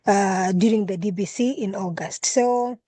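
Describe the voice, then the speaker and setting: A woman's voice: mid-range voice, warm tone, no echo. A woman from Kenya hosting an online meeting as regional coordinator, speaking with frequent fillers and restarts over a video call. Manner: halting, many fillers and restarts.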